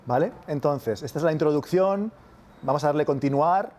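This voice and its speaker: Spanish man, lecturer, casual classroom talk, checks in often, somewhat high voice. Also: expressive, moderate pace, a little mellow. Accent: Spain Spanish. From Spain. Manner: casual, keeps checking with "¿vale?". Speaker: university lecturer. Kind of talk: masterclass lecture.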